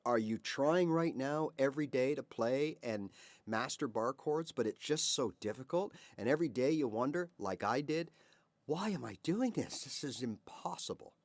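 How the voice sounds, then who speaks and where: An older man talks through a guitar lesson in a friendly, conversational way, his pitch rising and falling a lot as he poses questions to the viewer. The sound is dry and close, with almost no room echo around him. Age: 50s or older. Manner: chatty, encouraging, rhetorical questions, frequent "all right" and "right?". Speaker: guitar teacher. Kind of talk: casual video lesson to camera.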